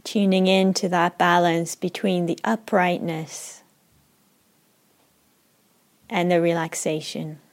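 A woman with an Israeli accent leading a guided meditation, speaking slowly and softly with long pauses. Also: on the low side, fairly even intonation. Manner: slow, soft and unhurried, with long pauses. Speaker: meditation teacher.

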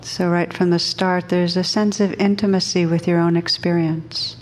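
Female meditation teacher, slightly low pitch, flat steady intonation, gentle, unhurried, long pauses. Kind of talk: guided meditation, softly instructing a group.

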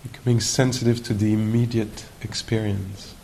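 A man leading a guided meditation as a teacher at a retreat, speaking in an unhurried, musing way with gentle questions and long pauses. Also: little pitch movement. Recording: noisy live recording with low rumble.

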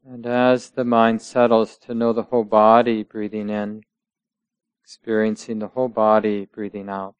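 A man teaching meditation, guiding listeners gently in measured short phrases with long pauses between them. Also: lowish voice, little pitch movement, clear, resonant tone, dry recording, dull audio. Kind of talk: guided meditation instruction.

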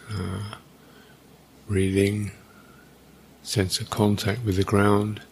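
Male meditation teacher, husky and fairly low voice, unscripted guidance with long, halting gaps. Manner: unhurried, halting, with long pauses.

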